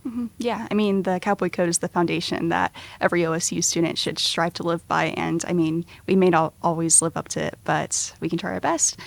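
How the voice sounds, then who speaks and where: Young woman with a gravelly, low voice. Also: steady tone, fairly quick, hardly any pauses. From Oklahoma, USA. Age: early 20s. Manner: poised and polite, with "um" fillers. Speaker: student guest. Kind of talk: casual interview answers.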